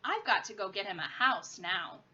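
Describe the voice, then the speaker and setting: A woman's voice: mid-range voice, lively intonation, moderate pace, sharp tone, muffled recording, a little hiss or hum. A woman voicing an eager, polite young boy with a simple, earnest manner in a storybook reading. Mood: grateful.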